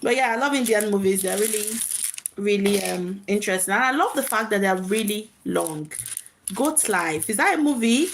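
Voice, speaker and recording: A woman's voice: mid-range voice, lively intonation, moderate pace, a little hiss or hum. A woman hosting a casual live chat, speaking reflectively in short, repeated phrases to her viewers. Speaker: live stream host.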